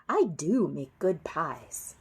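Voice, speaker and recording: A woman's voice: sits low, very animated, slow, a little mellow, breathy edge, heavily acted. A woman voicing a cheerful, literal-minded female housekeeper who exclaims and talks to herself in a folksy way, as in a storybook. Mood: pleased, eager.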